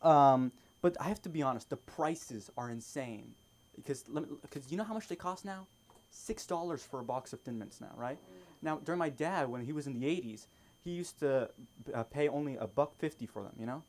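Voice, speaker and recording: A man doing observational stand-up in a halting way, repeating phrases and checking in with little tag words. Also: mid-range voice, lively intonation, darkish tone. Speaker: stand-up comedian. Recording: noisy live open mic room.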